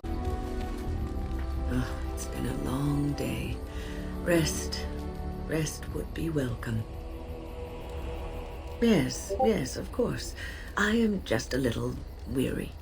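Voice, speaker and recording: A woman voicing a kindly, weary elderly mage in a video game, gentle and unhurried. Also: very deep voice, sing-song, creaky, over music, exaggerated voice.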